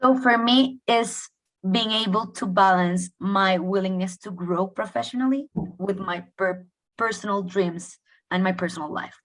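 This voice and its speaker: A woman's voice: medium pitch, measured pace, poor audio. A woman from Colombia, a native Spanish speaker, giving considered answers as a guest panellist.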